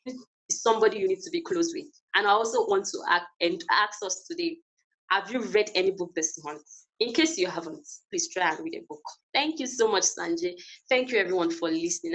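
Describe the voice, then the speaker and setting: A woman's voice: on the low side, brisk, a bit bright, poor audio. A woman giving an earnest, encouraging webinar talk over a video call, repeating her key phrases. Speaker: guest speaker.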